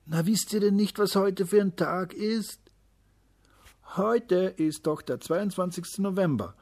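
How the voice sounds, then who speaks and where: A man's voice: sits high, measured pace, a little mellow, pitched above the performer's own voice. A man voicing a proud schoolboy in a storybook, boasting cheerfully. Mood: boastful. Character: proud schoolboy with a new bike.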